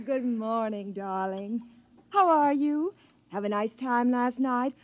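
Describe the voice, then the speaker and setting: Radio drama wife: clingy, adoring, halting and pleading, highish pitch, muffled vintage sound. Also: female voice. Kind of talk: old-time radio drama acting. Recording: dull old radio recording.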